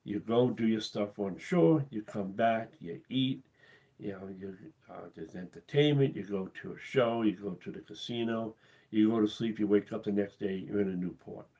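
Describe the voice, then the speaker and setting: A man hosting his cruise channel live, losing his voice and talking in a halting, casual way with many pauses and restarts. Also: lowish voice, dark tone. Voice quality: husky. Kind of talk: casual live-stream talk.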